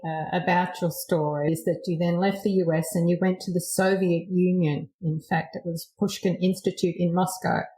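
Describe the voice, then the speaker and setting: Mellow-voiced woman host in a roomy space. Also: on the low side. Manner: curious, reads background with brief hesitations. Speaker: podcast host. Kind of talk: interview questions. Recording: roomy echo.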